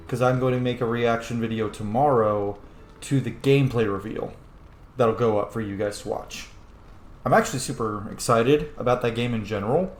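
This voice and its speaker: A man hosting a gaming let's play, talking casually and jokingly, with some swearing. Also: mid-range voice. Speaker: host of a gaming channel. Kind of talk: casual let's play commentary, role-playing in-game dialogue. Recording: roomy echo, faint background noise.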